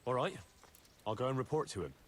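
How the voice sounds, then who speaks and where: A man's voice: mid-range voice, big pitch swings, quick pace, a little hiss or hum, natural voice. A man playing an earnest, deferential young squire in a medieval video game, politely asking questions. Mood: obedient. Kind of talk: scripted video game dialogue.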